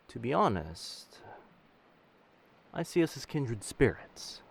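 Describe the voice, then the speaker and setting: A man playing a dangerous but gentle pirate captain in an intimate roleplay audio drama, protective and commanding, with old-fashioned seafaring speech. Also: sits low, heavily acted. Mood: gentle, reflective.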